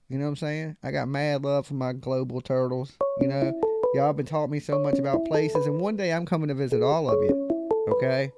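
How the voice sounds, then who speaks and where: A man hosts a live call-in stream in a loose, slangy, emphatic way. His voice is mellow and dark, and the sound is dry and close. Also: mid-range voice, no room sound. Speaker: live call-in show host. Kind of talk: casual live-stream talk about crime cases.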